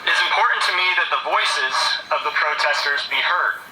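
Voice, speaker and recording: A man delivering a prepared public statement as an official at a press briefing, played back through a loudspeaker. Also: on the high side, brisk. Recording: echoey loudspeaker playback over background noise.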